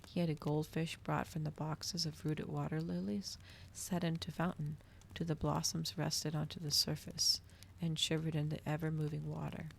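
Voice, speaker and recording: A woman reading a story aloud in a soft, breathy ASMR style, with dramatic storytelling delivery, close to the microphone. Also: deep voice, little pitch movement, moderate pace, a little hiss or hum. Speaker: streamer reading a novel aloud.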